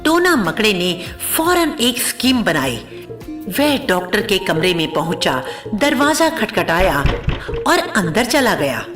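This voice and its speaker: A woman's voice: mid-range voice, sing-song, a little slow, over music. A woman narrating a scripted cartoon story as a storyteller.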